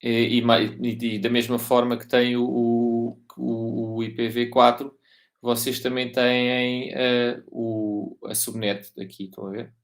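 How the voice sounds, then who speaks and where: A man's voice: medium pitch, little pitch movement, slow. A man with a European Portuguese accent giving an informal technical lecture, often repeating and rephrasing himself and checking that listeners follow. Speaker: university lecturer.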